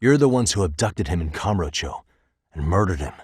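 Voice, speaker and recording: Deep-voiced, composed American detective. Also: male voice, animated, a little fast, husky, no room sound, clean studio sound. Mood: probing, firm. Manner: controlled, pointed, dryly sardonic questioning. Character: male detective, composed, probing, wry and tough. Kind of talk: video game voice acting, dramatic interrogation dialogue.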